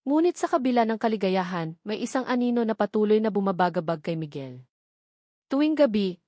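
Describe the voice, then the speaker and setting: A woman's voice: medium pitch, darkish tone, dry recording. Woman narrating a dramatic story, dry sound.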